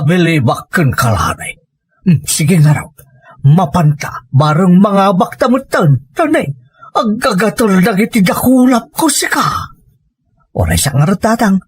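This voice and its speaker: A man's voice: sits high, lively intonation, a little slow, husky, no room sound, studio quality, heavily acted. A man playing a grumbling gambler with an Ilocano accent in a comedy audio drama.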